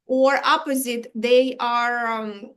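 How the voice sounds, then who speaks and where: Halting woman guest. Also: fairly high pitch, steady tone, a bit bright, clean tone. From Ukraine. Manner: halting, many restarts, drops articles. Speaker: Vedic astrologer guest. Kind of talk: explanatory interview talk.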